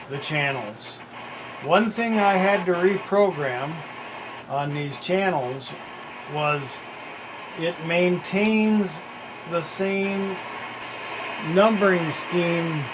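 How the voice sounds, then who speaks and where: A man with a slightly high-set voice gives a casual hands-on product demonstration. He speaks very slowly and haltingly, in a matter-of-fact, unscripted way. Steady noise sits clearly behind his voice. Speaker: radio hobbyist demonstrating gear.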